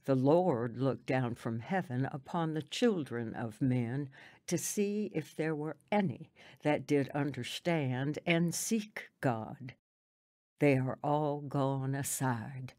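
A woman's voice: very deep voice, slow pace, a little mellow, dry recording, clean studio sound. A woman playing a pious, plainspoken old country grandmother in a radio drama, solemn and folksy in manner.

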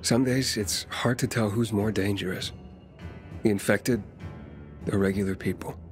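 A man's voice: fairly low pitch, steady tone, measured pace, faint music behind, natural voice. An actor playing an earnest, plain-spoken male survivor in a video game, talking in a casual, laconic way. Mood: earnest, guarded. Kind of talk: video game cutscene acting.

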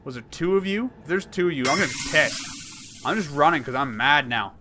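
A man in his thirties commenting on a horror game he plays, rambling and jokey, swinging from mumbles to yells. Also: on the high side, animated, fairly quick, noisy background. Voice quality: hoarse at times. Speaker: gaming YouTuber. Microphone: headset.

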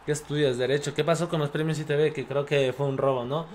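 A man's voice: a little high, steady tone, fast talker, darkish tone. A young man from Ecuador with an accent from Spain, chatting casually on a live stream while gaming, reading chat aloud and swearing at goals. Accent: Spanish from Spain, picked up living there. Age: young adult.

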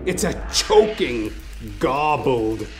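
A man narrating a horror audio play dramatically, intense and theatrical, pausing between short phrases. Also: mid-range voice, big pitch swings, faint music behind.

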